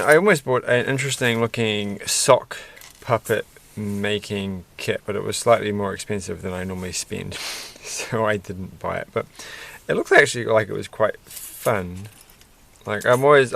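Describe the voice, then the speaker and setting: A man rambling softly to himself while building a toy kit at his desk at night, self-deprecating and a bit tired. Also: fairly low pitch, normal speed, creaky, slight background noise. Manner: soft-spoken, muttering to himself, self-deprecating. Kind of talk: casual rambling build commentary.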